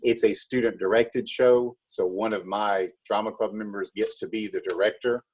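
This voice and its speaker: A man being interviewed as a school drama club director, explaining in a casual, halting way. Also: lowish voice, fairly even intonation, a little mellow, slightly rough, low-quality recording.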